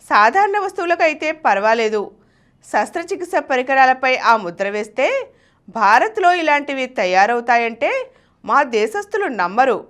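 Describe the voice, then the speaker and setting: A woman with a Telugu accent presenting scripted biographical narration in an expressive, emphatic storytelling manner. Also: sits high, moderate pace. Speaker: channel presenter reading a biography.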